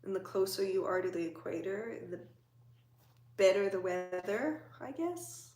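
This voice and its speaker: A woman's voice: mid-range voice, lively intonation, unhurried, a little mellow, reverberant. A woman hosting a casual livestream, chatting and teasing as she answers viewers' comments from home. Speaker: livestream host.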